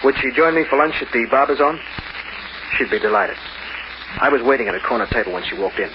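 An actor playing a cynical, streetwise locksmith who narrates in hardboiled slang, in an old-time radio noir style. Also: male voice, mid-range voice, expressive, fast, close to an everyday voice. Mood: wry narrating. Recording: noisy, muffled old radio recording.

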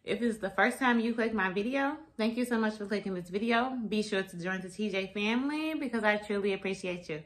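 A young woman chatting casually and informally to camera, her voice a little raspy and tired. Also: medium pitch, brisk, hardly any pauses. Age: young adult. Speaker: vlogger. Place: small room at home, indoors.

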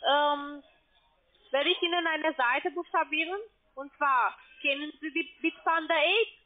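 A high-pitched woman with a foreign accent delivers a telephone sales pitch. She sounds like a call center agent, heard through a narrow, tinny phone connection. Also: unhurried. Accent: foreign, non-native German.

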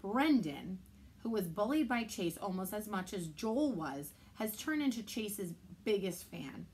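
A woman in her thirties reads a chapter book aloud to children, steady and measured, at a mid-range pitch. She is recorded indoors in a library room on a camera mic, with faint background noise. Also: rough recording.

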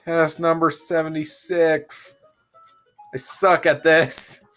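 A young man giving goofy, self-mocking commentary while playing a game, sick and sounding deeper than usual. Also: flat delivery, slow pace, a bit bright, soft background music. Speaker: gamer. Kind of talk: casual gameplay commentary.

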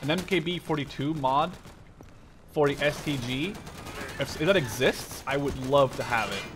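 A man in his twenties giving casual, rambling, sweary gameplay commentary in an excitable way. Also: medium pitch, normal speed, noisy background. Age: 20s. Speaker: gamer, video host. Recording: loud game gunfire behind the voice. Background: gunfire and machine guns from game.

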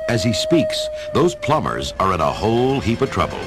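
A man's voice: medium pitch, huge pitch swings, moderate pace, over loud music. A man narrating a dramatic scripted story in a wry, punchy way.

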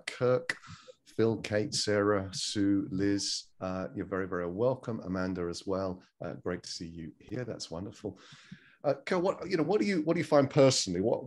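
A man hosting an online meeting on a video call, welcoming and chatting informally with participants. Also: deep voice. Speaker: webinar host and moderator. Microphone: video call microphone.

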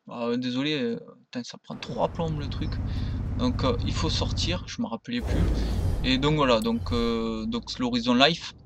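A man giving casual, unscripted game commentary, rambling and stumbling over his words. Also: mid-range voice. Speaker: gaming video creator. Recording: noisy home recording.

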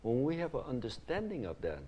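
A man's voice: medium pitch, fairly quick, dull audio, a little hiss or hum. A middle-aged man from Taiwan, a community founder, answering interview questions earnestly in an ornate living room. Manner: animated, gestures with hands while explaining.

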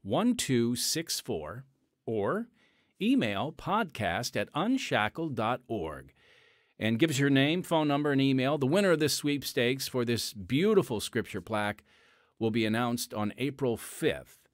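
A man's voice: medium pitch, expressive, unhurried, no room sound. A man hosting a podcast in a friendly, chatty manner, reading announcements from a studio mic. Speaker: podcast host. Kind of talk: podcast host segment and announcements.

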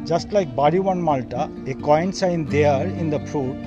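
An older Bengali-accented man talks outdoors on a rooftop into a phone held at arm's length. His voice sits a little high for a man, and his phrasing is earnest and repetitive. Soft music plays faintly behind him. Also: unhurried, lo-fi sound. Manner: earnest, repetitive phrasing, addresses viewers as dear friends. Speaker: gardening channel host. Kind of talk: casual gardening vlog tour.

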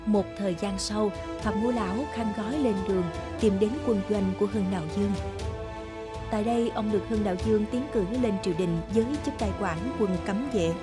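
A woman's voice: mid-range voice, a little fast. A woman storyteller reading scripted narration of a historical folktale. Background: background music.

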